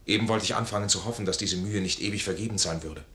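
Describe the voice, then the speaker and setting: Tense, roomy-voiced proud wounded officer played by an actor. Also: male voice, fairly low pitch, quick pace, a bit bright, close to an everyday voice. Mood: bitter, proud. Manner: formal, anguished, declamatory. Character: proud, wounded, discharged army officer, honourable and tormented. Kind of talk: 1950s German radio play acting. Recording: roomy old 1950s radio studio recording.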